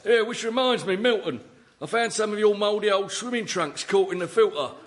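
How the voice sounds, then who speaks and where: An actor playing a cheeky, gruff old London caretaker in a radio sitcom, blunt and matey in manner. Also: male voice, high voice, brisk, natural voice.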